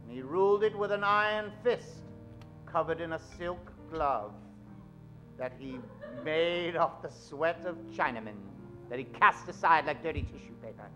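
Middle-aged guy doing old radio show announcing, bright voice, dramatic pauses, bit of music behind. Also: a little high, lively intonation, poor audio. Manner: theatrical, suave delivery with dramatic pauses. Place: stage of a small theatre. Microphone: vintage-style stand microphone.

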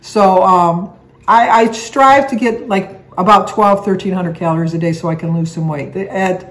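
A woman's voice: mid-range voice, moderate pace. Older lady chatting to her camera at home, kinda rambly, lots of restarts. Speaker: vlogger. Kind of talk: casual chat. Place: living room at home, indoors. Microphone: camera on a table, seated facing it.

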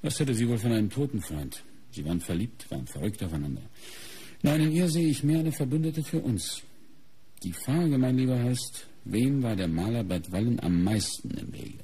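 An actor playing a sober, methodical middle-aged police inspector in a radio crime play, raspy and measured as he reasons aloud. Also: medium pitch, expressive, mellow. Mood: matter-of-fact. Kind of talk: German radio crime drama acting.

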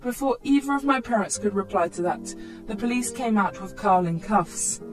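A woman narrates a scripted first-person drama at a medium pitch. Faint music runs behind her storytime reading. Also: animated, moderate pace, a little mellow, rough recording.

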